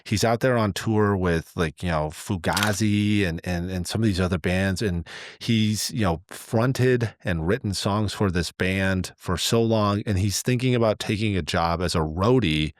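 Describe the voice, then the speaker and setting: A man's voice: sits low, a little slow. A man co-hosting a podcast, telling stories conversationally in an enthusiastic, rambling way, repeating words for emphasis. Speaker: podcast co-host.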